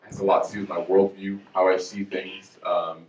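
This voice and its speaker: A relaxed, joking man with a very low voice talks to a crowd of students. He is from Chicago and drifts through his points with plenty of fillers. He sounds far from the mic in an echoey hall with a faint hiss. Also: fairly quick. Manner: easygoing, joking, many fillers. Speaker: guest speaker. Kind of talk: casual talk with student audience.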